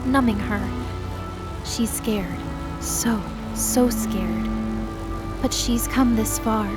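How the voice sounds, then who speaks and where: A woman's voice: on the high side, lightly acted. A woman delivering dramatic scripted narration as the narrator of an audio drama. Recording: loud dramatic music behind the voice.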